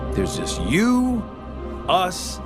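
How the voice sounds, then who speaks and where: A taunting middle-aged game villain who toys with his listener in a sardonic drawl. His delivery is slow and theatrical, swinging widely in pitch. Game soundtrack music plays behind him. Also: wildly swooping pitch, full character voice. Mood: mocking, menacing. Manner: sardonic drawl, mockingly playful. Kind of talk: theatrical video game cutscene acting.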